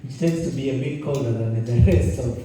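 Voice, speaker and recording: A man's voice: mid-range voice, a little fast, warm tone, far from the mic, slight background noise. A Kenyan man hosting a conference, talking in a chatty, jokey way with many restarts. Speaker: event host and journalist. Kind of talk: conference opening speech. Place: conference room. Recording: roomy hall, mic set back.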